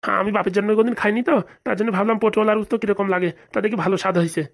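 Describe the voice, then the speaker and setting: A woman's voice: medium pitch, fairly even intonation, very fast, gravelly. A woman voicing a simple, good-natured village uncle who loves food, artless and rustic in a comic cartoon. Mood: delighted.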